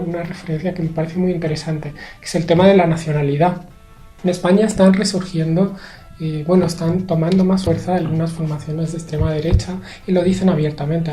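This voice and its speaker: Man, young adult, from Spain, interview guest, hesitant, full of fillers, mellow dark tone, echoey room. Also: on the high side. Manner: measured, hesitant with many fillers. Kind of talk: thoughtful conversational interview.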